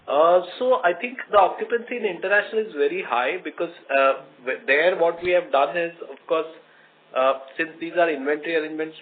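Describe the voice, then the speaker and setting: A man with an Indian accent speaks as a company executive on an earnings call, explaining things in a measured, halting way. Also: a little high. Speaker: company executive answering questions. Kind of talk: earnings call question and answer. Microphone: conference phone call. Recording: tinny phone line with noise, clicks.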